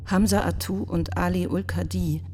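A woman's voice: on the low side, steady tone, moderate pace, darkish tone, soft background music, lightly acted. A woman playing a narrator in a documentary radio play, reading case profiles coolly and factually. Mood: matter-of-fact. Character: factual female reader of defendants' profiles.

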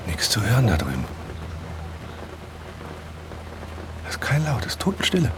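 An actor playing a teasing, wheedling husband in a naturalistic radio drama, speaking in an intimate, coaxing manner. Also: male voice, on the low side, huge pitch swings, very fast, loud music behind the voice, poor audio.

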